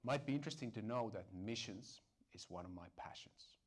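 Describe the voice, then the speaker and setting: A middle-aged man giving a formal, measured scripted self-introduction to camera on a lapel mic. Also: fairly low pitch, animated, breathy edge. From Finland or Estonia. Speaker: church elder candidate. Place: indoor studio set with dark backdrop.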